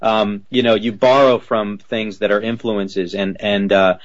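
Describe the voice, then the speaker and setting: A man who works as a voice actor, answering interview questions in a lively way and slipping into character voices as he tells stories. His voice is slightly deep. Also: a little slow, lo-fi sound. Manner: animated, drops into character voices while telling stories.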